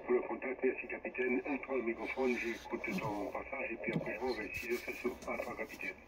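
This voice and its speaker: A man's voice: mid-range voice, steady tone, fairly quick. A man chatting casually as an amateur radio operator over a shortwave transmission. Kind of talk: casual ham radio chat. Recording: muffled, noisy ham radio reception. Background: radio static and hiss.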